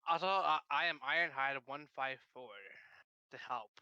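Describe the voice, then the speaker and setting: A man's voice: a little high, normal speed, bright, forceful tone, clean tone, dry recording. A man playing a modest fighter in casual roleplay, understated, recorded on a dull, limited microphone. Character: modest fighter volunteering for a mission.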